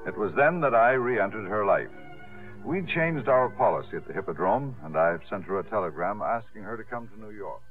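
Actor as suave low-voiced impresario, old radio. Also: male voice, lively intonation, fairly quick, lightly acted. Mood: reflective, narrating. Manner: polished, urbane. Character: courteous, suave theatre impresario telling his story. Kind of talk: 1950s radio drama acting and narration. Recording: thin, phone-like 1950s radio broadcast, music.

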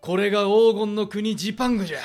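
A man's voice: high-pitched, quick pace, darkish tone, faint music behind. A man playing a commanding, blunt warlord in a Japanese period audio drama, with imperious, archaic lordly speech. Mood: brisk, proud.

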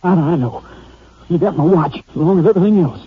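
An American actor playing a sullen, uneasy young rural Texan with folksy, grudging speech in a 1950s radio drama. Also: male voice, fairly high pitch, quick pace, breathy edge. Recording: tinny, noisy old 1950s radio recording.

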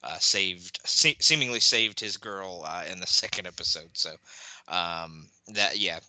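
A man's voice: sits low, unhurried, no echo, low-quality recording. A man hosting a casual podcast, talking loosely with lots of fillers and handing questions to his guest. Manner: relaxed, many fillers, hands off questions to the guest. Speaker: podcast host.

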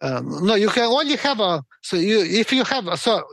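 A middle-aged man from Ukraine with a Ukrainian accent, answering interview questions in a halting way with many fillers and restarts. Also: high voice, very animated, gravelly, fairly bright tone, dry recording. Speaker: guest expert.

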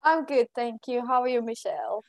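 A woman from Kenya answers interview questions in a friendly, halting way, often breaking into giggles. Her voice sits somewhat high. The recording is very dry, with no room sound at all. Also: dry recording. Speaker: podcast guest. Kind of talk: casual interview chat.

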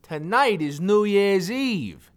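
A woman's voice: medium pitch, lively intonation, takes it slowly. A woman playing a cheeky, lazy young sidekick in a comedy audio drama. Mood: eager.

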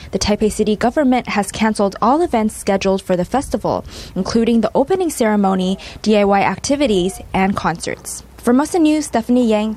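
A woman's voice: mid-range voice, a little mellow. A woman narrating a news field report in an upbeat reporting manner.

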